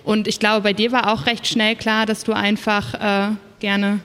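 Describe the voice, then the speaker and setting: Woman writer chatting on a panel, normal pitch, big hall reverb. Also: level tone, unhurried, fairly bright tone, smooth. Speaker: author on a discussion panel. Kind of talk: casual panel discussion. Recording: long reverberant hall sound.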